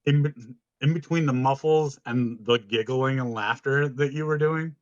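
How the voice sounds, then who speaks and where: A man with a deep voice co-hosting a podcast, telling stories in an informal, casual way and swearing freely. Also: moderate pace, no echo, highs cut off. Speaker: podcast co-host. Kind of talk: casual chat, storytelling.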